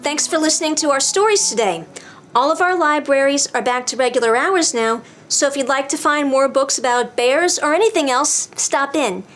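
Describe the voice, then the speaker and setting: High-voiced woman reading to children, music behind. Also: moderate pace. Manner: expressive, simple phrasing for young children. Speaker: children's librarian, storytime host. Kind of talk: children's storybook read-aloud.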